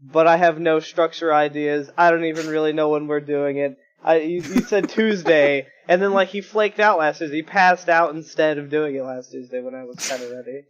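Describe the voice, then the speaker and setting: A young man hosting a casual gaming stream, joking and self-mocking, with a reasonably high-pitched voice, talking into a home desktop mic. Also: fairly even intonation, brisk, hardly any pauses, lo-fi sound, slight background noise. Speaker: stream host. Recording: background music.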